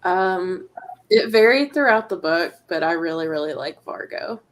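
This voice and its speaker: A woman chatting casually with a reading group over a video call, soft-spoken and agreeable. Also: fairly low pitch, animated, moderate pace, slight background noise. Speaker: guest reader. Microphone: video call mic.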